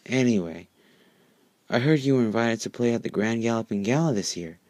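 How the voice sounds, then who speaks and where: A man's voice: mid-range voice, expressive, a little fast, a little mellow, dry recording, lightly acted. Casting: fussy, exasperated mare roommate for storybook reading, done by a man, dry sound. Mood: reproachful, prim. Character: prim, exasperated female pony roommate, a candy maker.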